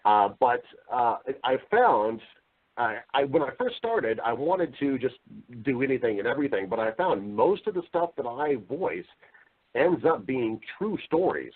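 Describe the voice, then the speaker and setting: A man's voice: mid-range voice, big pitch swings, unhurried, breathy edge, phone-quality sound. A man speaking as a voiceover professional and interview guest, chatty and conversational, with some self-deprecating humor.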